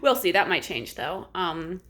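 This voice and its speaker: A young woman chatting casually in a reading vlog, rambling with many fillers and sometimes dropping to a hush. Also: lowish voice, very animated, unhurried. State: congested. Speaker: book vlogger.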